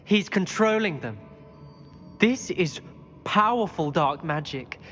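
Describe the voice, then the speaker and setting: A woman's voice: fairly low pitch, lively intonation, slow, long reverb tail, lo-fi sound, soft background music. A woman playing an anxious young wizard-school student in a video game, speaking urgently and earnestly. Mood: worried, urgent. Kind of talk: dramatic video game acting.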